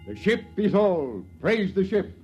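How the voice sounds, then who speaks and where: A man's voice: high voice, very animated, unhurried, background noise. An actor playing a solemn, dogmatic priest making a proclamation to his people in a 1950s radio drama. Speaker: character in a 1950s radio play. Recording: muffled vintage radio broadcast.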